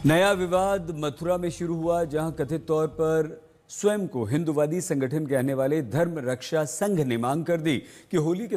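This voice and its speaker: A man's voice: on the high side, mellow. A man presenting scripted news as a television anchor.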